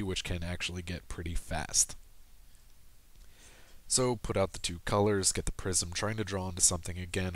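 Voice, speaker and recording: A man with a low voice and little rise or fall in his pitch talks through a recorded card game. He explains each play patiently, pausing with ums and correcting himself as he goes. His voice sounds a little worn, as if he is losing it. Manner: steady explanatory, frequent ums and self-corrections. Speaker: gameplay commentator. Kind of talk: casual game commentary over screen recording.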